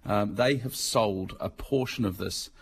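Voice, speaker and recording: A man's voice: on the low side, measured pace, vocal fry, a little hiss or hum. A middle-aged man from England with a Mancunian accent, giving a chatty business and markets segment on the radio and explaining things in plain words. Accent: Mancunian English. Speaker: financial markets commentator.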